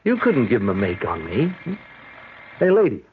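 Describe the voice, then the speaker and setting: A man's voice: on the low side, wildly swooping pitch, normal speed. A man playing a menacing, slangy American career criminal with a gun in a 1970s radio drama, stammering when rattled. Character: jittery, menacing male gunman, a street-tough career criminal. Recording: muffled, hissy 1970s radio recording.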